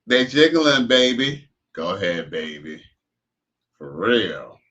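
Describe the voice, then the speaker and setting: A man's voice: lowish voice, sing-song, slow, background noise. A man hosting a live stream, reacting to clips with crude jokes and loose slang. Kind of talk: casual reaction commentary. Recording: dull lo-fi stream audio.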